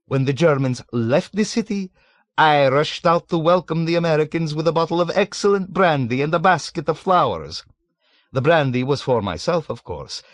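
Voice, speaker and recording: A man's voice: on the high side, no echo, pitched above the performer's own voice, natural voice. A man voicing a sly, mocking old man of 107. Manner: suave, mocking, scoffing.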